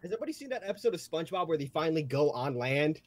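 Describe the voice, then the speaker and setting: Male, very lively pitch, casual joking banter among friends on a voice call. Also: rapid-fire, dry recording. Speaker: member of a comic dub cast.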